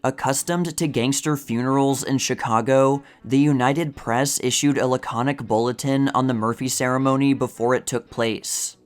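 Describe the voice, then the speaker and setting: A man narrating a scripted, vintage news-style article in measured, deliberate phrasing. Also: mid-range voice, fairly even intonation, faint music behind.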